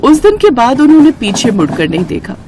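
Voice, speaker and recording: High-voiced woman narrating a story. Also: wildly swooping pitch, a little fast. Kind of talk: scripted children's story narration.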